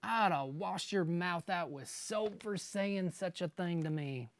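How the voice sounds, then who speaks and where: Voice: mid-range voice, unhurried, natural voice. A storyteller voicing a prissy, vain small-town lady with an outraged, scolding manner. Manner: shrieking, scolding.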